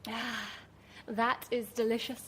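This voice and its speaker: A woman's voice: on the high side, little pitch movement, slow pace, fairly bright tone. An actress playing a self-satisfied young woman relishing luxury in a live horror radio play. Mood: delighted. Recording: live stage, faint noise.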